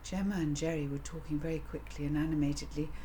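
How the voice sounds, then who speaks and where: A woman's voice: low-pitched, steady tone, fast talker, warm tone. A woman narrating a children's story as an author reading their own book. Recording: audible background noise.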